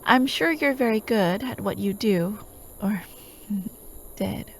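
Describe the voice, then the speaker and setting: A woman playing a doting, possessive female hypnotist in a soft-spoken ASMR roleplay, gentle and coaxing, often slipping into a whisper. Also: medium pitch, slow, a little hiss or hum, rough recording. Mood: gently teasing. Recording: steady outdoor night ambience, chirping crickets. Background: crickets and night insects.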